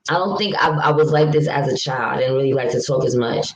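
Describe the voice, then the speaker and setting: Deep-voiced, chatty woman from New York. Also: fast, rough recording. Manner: talkative, frank and chatty. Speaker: livestream vlogger. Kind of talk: casual live chat, answering viewer comments.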